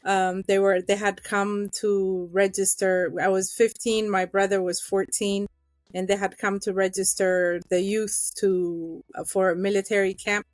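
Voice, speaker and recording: A woman's voice: mid-range voice, little pitch movement, measured pace, dry recording, soft background music. A middle-aged woman from Ethiopia, a guest telling her own story in an interview, earnest and emotional, with many fillers.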